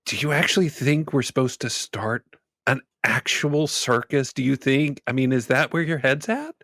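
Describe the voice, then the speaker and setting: A man's voice: mid-range voice, normal speed, fairly bright tone, dry recording, studio quality. A man playing a tabletop game, chatting casually and improvising in character with a wry, joking manner. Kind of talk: casual improvised role-play chat.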